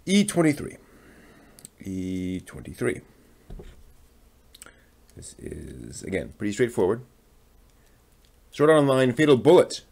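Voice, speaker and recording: A man casually talking through a hands-on hobby build, halting and muttering to himself as he works. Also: mid-range voice, sing-song, creaky, a little mellow. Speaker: hobby channel host building a model kit on stream.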